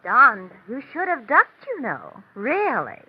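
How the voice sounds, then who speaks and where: An actress playing an affected, gushing young society coquette in an old-time radio drama. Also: female voice, high voice, wildly swooping pitch, slow, husky, dry recording, exaggerated voice. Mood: coolly chiding. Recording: muffled, noisy vintage radio recording.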